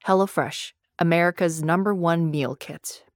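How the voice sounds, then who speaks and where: A woman with a low voice delivers a scripted advertisement. Her read is conversational, like a podcast sponsor spot. The recording is close and dry, with very little room sound. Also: moderate pace, no echo. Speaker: podcast ad reader.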